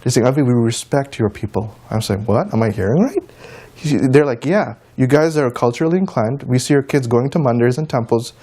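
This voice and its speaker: A man's voice: medium pitch, expressive, brisk, warm tone. A man from the United States answers interview questions in an informal, anecdotal way, as a musician and teacher speaking conversationally. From United States, of Trinidadian and Guyanese descent. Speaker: interview guest, tabla player and teacher.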